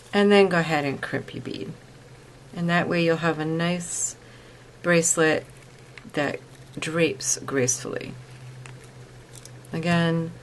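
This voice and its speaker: Woman doing a step by step craft how-to, lowish voice, plain patient explaining, some noise in the background. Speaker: craft tutorial presenter. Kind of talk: step-by-step instructional narration.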